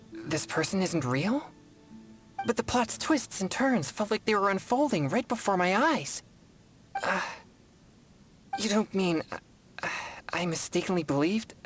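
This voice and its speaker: A man voicing a serious, polite teenage boy exorcist in a video game, speaking in a formal, earnest and courteous manner. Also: fairly high pitch. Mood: flustered, embarrassed. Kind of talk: scripted video game voice acting.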